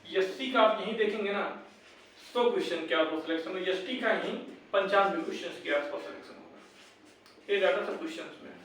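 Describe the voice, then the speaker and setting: A man's voice: sits high, brisk, a bit bright, a little hiss or hum. A young man addresses his viewers like brothers. He is insistent and repeats his points as he advises and rallies them. The sound is heavily echoing and distant from the microphone. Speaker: YouTube exam-update presenter. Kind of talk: informal advice and rallying talk to viewers. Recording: very echoey room, distant microphone.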